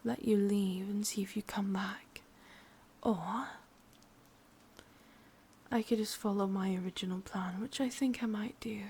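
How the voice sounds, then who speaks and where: A woman playing a sadistic, possessive witch with an English accent in a whispered ASMR roleplay, breathy, teasing and menacingly sweet. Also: mid-range voice, steady tone, a little slow, pauses often, darkish tone, a little hiss or hum, close to an everyday voice. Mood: musing, menacing.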